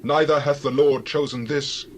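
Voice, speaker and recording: A man's voice: on the high side, fairly even intonation, normal speed, slight background noise, close to an everyday voice. A man playing a stern, righteous prophet in a dramatized scripture reading, solemn and declamatory.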